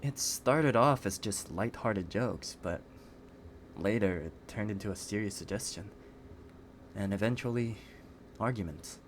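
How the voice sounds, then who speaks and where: A man acting a shy, guarded young guy with a fairly low voice. He speaks earnestly and with restraint, as in an intimate audio roleplay. There is noise behind the voice. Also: lightly acted. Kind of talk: scripted ASMR roleplay acting. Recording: clearly noisy background.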